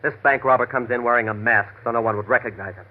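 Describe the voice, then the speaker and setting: A man's voice: medium pitch, fairly even intonation, fast, natural voice. An actor playing a tough, defensive American gunman in classic radio drama style, talking in argumentative, menacing slang. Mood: bitter, argumentative. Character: tough, defensive gunman ex-convict posing as a friend. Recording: thin, tinny vintage radio recording.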